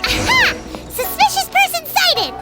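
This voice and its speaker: A woman voicing a small, childlike, cheeky fairy companion in a video game, with a squeaky, bossy delivery. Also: sing-song, unhurried, heavily acted. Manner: exclamatory, cheekily blunt. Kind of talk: video game cutscene acting. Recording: loud background music. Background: loud music.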